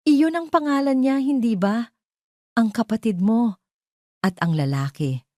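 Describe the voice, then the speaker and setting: A woman's voice: a little high, animated, unhurried, darkish tone, no room sound, clean studio sound, voice pushed up. A woman voicing a hurt but determined wronged wife in an audio drama, speaking in a direct, confrontational way. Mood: hurt, accusing.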